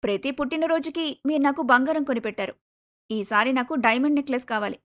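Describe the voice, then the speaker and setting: A woman's voice: sits high, fast, close to an everyday voice. A woman voicing an arrogant, spoiled young adult daughter in a cartoon moral story, with a haughty, bossy manner. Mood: delighted, gushing.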